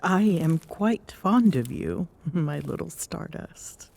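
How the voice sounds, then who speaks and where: A woman playing a regal, smitten Amazonian queen in an intimate ASMR romance roleplay, speaking formally and softly with coy pauses, often near a whisper. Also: deep voice, expressive, vocal fry, exaggerated voice. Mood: fond, wistful. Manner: formal, haughty diction with coy hesitations and murmured endearments.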